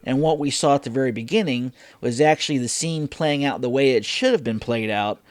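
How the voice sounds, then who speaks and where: A man's voice: mid-range voice, brisk, no echo. A man hosting a reaction show, talking casually and thinking aloud as he gives rambling commentary.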